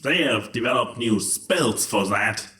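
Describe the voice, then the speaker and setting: A man's voice: fairly low pitch, animated, measured pace, bright, forceful tone, roomy sound. A man playing a smug, accusing male changeling who gloats theatrically in a dramatised story reading. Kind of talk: dramatised fan fiction reading.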